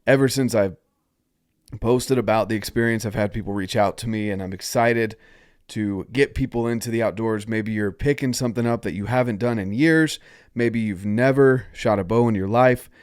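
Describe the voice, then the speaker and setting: A man's voice: medium pitch, a little mellow, no room sound, studio quality. A man from North Carolina hosting a solo podcast, talking upbeat and encouraging while stuffed up from a cold. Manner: upbeat, encouraging, invites listeners to join. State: stuffed-up nose. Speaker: podcast host.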